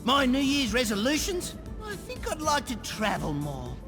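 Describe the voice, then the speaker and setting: A man's voice: very high-pitched, huge pitch swings, moderate pace, heavily acted. A man playing a manic, sarcastic, scrawny criminal in a video game. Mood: sly. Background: music.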